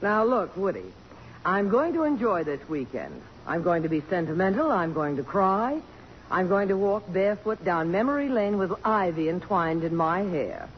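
A high-strung female politician, animated high-low swings, poised but sentimental, old radio drama, muffled recording. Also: normal speed. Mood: defiant, wry. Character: poised, sentimental, strong-willed congresswoman. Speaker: radio actress. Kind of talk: 1950s radio drama acting. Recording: dull, noisy old radio broadcast.

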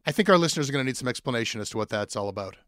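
A man's voice: medium pitch, expressive, racing, a bit bright, dry recording, studio quality. A man hosting a radio interview, asking curious, conversational questions.

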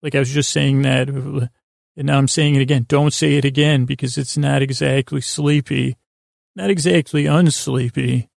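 A man with a creaky, gravelly voice hosting a sleep podcast in a meandering, halting monologue that keeps interrupting itself. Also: mid-range voice, fairly even intonation, darkish tone, no echo, clean studio sound. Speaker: podcast host.

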